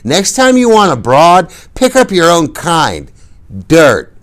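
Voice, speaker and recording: A man's voice: a little high, huge pitch swings, takes it slowly, a bit bright, voice pushed up, full character voice. A man voicing a drunk, sneering rich teenage bully who slurs his taunts. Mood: taunting. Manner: mocking, slurred, taunting.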